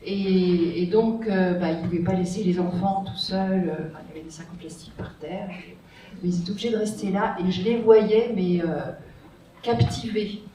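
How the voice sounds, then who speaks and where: A woman's voice: on the low side, normal speed, dark tone. Woman author, hesitant reflective delivery; distant mic, heavy hall echo, faint hiss. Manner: hesitant and reflective, many fillers. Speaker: author on a panel. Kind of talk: round-table discussion. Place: large echoey library hall. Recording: echoey hall from far off, faint noise.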